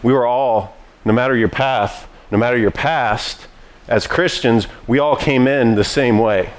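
A man's voice: mid-range voice, a little slow. A man preaching a sermon as pastor, teaching in a conversational way with frequent restarts.

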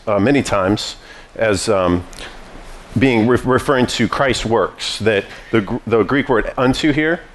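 A man's voice: fairly low pitch, slight background noise. Man preaching a conversational sermon. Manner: conversational teaching with frequent restarts. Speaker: pastor.

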